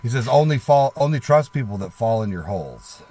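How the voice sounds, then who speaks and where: A man's voice: mid-range voice, very animated, fairly quick, dark tone. A man co-hosting a livestream in casual, conversational talk. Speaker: livestream co-host. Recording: faint background noise.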